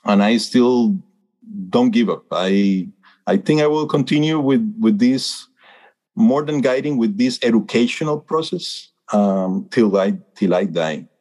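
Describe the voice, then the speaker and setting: A man's voice: medium pitch, expressive, a little slow, darkish tone. A man in his fifties from Costa Rica, with a Costa Rican Spanish accent, speaking as a birding guide in an interview. His answers are earnest and hesitant, with many fillers and restarts. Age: 50s.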